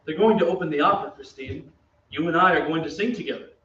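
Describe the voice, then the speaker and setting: A man's voice: fairly high pitch, fast talker, fairly bright tone, close to an everyday voice. A man playing a self-assured, earnest opera singer and suitor in an old-time radio drama, polite and confident. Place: theatre stage. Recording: echoey stage, distant, dull, noisy.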